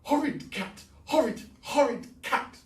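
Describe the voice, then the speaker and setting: A man doing a shrill wailing old spinster. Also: lively intonation, unhurried, a little mellow, distant mic. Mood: distraught wailing. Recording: roomy echo.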